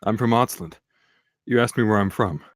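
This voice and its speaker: A man playing an earnest, hesitant shepherd turned soldier at a script table read, halting and plain-spoken. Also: lowish voice, no room sound, clean studio sound, lightly acted. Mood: sad, plain.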